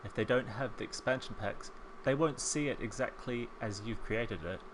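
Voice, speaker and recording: A man in his thirties with a soft New Zealand accent, chatting casually as a voice-over while he builds a home in a life-sim game, rambling and self-deprecating. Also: medium pitch, normal speed, background noise. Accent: soft New Zealand, softened by years in England. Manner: chatty, rambling, self-deprecating, with frequent ums and small laughs. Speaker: Sims speed-build creator.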